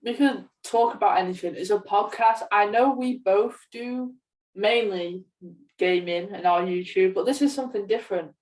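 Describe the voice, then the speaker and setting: A woman's voice: medium pitch, normal speed, a little mellow, far from the mic, low-quality recording. An eighteen-year-old young woman co-hosting a podcast, chatting casually about gaming. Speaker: podcast co-host.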